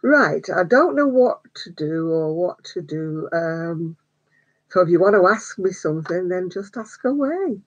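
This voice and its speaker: A woman's voice: fairly low pitch, expressive, measured pace. An older woman hosting a casual live stream, chatty and greeting viewers by name between little laughs. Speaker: crochet vlogger. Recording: slightly dull home stream audio.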